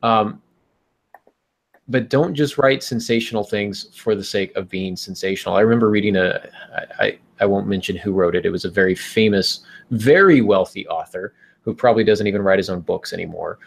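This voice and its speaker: A man in a casual podcast discussion, giving reflective writing advice in a halting, thoughtful, explanatory way. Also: fairly low pitch, vocal fry.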